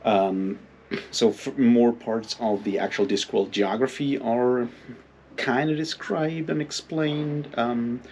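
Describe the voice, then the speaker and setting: A man giving a casual, unscripted book commentary in a halting, rambling way, with plenty of filler phrases. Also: mid-range voice, a little mellow, slight background noise. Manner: halting, hesitant, rambling delivery with frequent hedging filler phrases. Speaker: book reviewer and readalong host.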